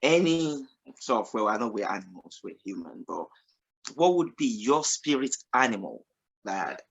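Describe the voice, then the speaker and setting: A man with a husky, slightly breathy voice hosts a radio interview. His pitch rises and falls a lot as he circles around his questions. He restarts phrases before landing on what he means. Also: very animated, moderate pace, lo-fi sound. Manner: rambling, restarts questions, warm laughs. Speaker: radio show host. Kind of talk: casual interview chat.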